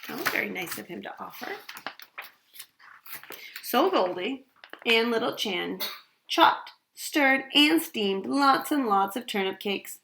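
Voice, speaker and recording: A woman reading a picture book aloud for children, pausing to ask listeners questions about the story. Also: a little high, animated, vocal fry, bright, forceful tone. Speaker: storytime reader. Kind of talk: read-aloud storytime narration.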